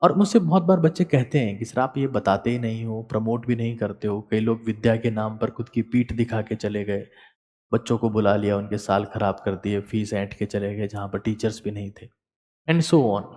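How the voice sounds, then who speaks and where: A man giving a motivational study lecture as a teacher, emphatic and repeating key words, swinging between loud and soft. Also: on the low side, fairly even intonation, moderate pace, big-room sound.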